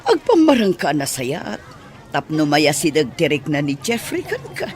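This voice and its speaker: A woman's voice: low voice, huge pitch swings, moderate pace, darkish tone, slight background noise. A woman playing a scheming, sly mother in a theatrical radio soap opera. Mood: sly, plotting.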